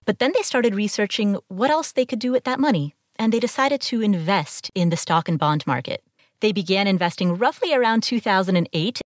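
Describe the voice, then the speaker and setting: A woman's voice: fairly low pitch, no echo, studio quality. A woman from Ohio hosting a podcast, reading an intro and asking interview questions. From Ohio, USA. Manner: lively, expressive questioning. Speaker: podcast host.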